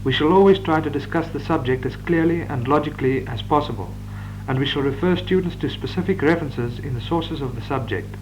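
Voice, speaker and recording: A man, reading out law course notes in a measured, dictating manner with occasional stumbles. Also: fairly high pitch, rough recording. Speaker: law lecturer. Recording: old reel-to-reel tape with hum.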